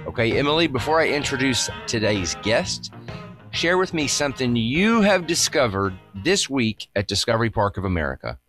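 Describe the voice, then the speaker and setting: A man's voice: mid-range voice, sing-song, moderate pace, fairly bright tone, soft background music. A man hosting a podcast, speaking in a friendly, casual way with many ums and uhs. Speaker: podcast host. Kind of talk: podcast interview.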